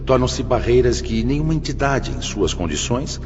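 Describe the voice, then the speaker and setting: A man's voice: medium pitch, animated, moderate pace, natural voice. A man playing an authoritative spirit mentor in an audiobook drama, grave and sententious. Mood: solemn, admonishing. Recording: long reverb, dull audio, loud music.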